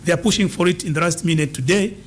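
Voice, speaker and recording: A man with a notably high-pitched voice speaks as a political guest. He talks in an emphatic, campaigning way, hammering home his points and repeating them for stress. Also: quick pace. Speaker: political party leader as studio guest. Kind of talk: political interview answers.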